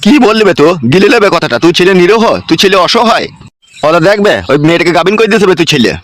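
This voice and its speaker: An actor playing an angry, blunt village boss in a cartoon comedy, threatening people in a rural West Bengal accent. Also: male voice, high voice, fast, no echo, natural voice. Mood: agitated, sharp. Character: angry, foul-mouthed male village boss bullying a family.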